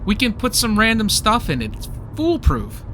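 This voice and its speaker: An actor playing a young, theatrical, self-centred comic-book fan with a whiny, jokey way of talking in a comedy radio play. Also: male voice, high voice, huge pitch swings, normal speed, sharp tone, a little hiss or hum, heavily acted. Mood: scheming, eager.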